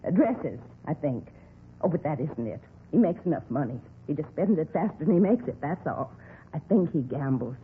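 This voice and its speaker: Gossipy, high-pitched chatty busybody woman. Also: very animated, normal speed, phone-quality sound. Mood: confiding. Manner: rambling, confiding, gossipy. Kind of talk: 1950s radio acting. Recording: thin, noisy vintage radio recording.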